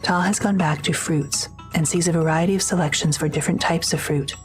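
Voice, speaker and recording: A woman's voice: sits low, fairly even intonation, brisk, faint music behind. A woman reading scripted audio drama narration in a neutral, descriptive manner.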